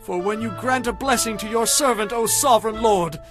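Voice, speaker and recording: Music plays behind a man acting as a devout king with a high voice. He prays humbly and earnestly, drawing out his phrases with reverent awe in an audio Bible drama. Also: a little fast, lightly acted. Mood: reverent pleading.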